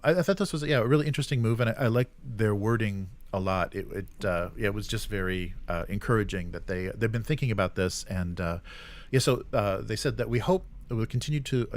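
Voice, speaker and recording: A man hosting a show, reading out news and commenting casually in a halting, agreeable way. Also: fairly low pitch. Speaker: show host.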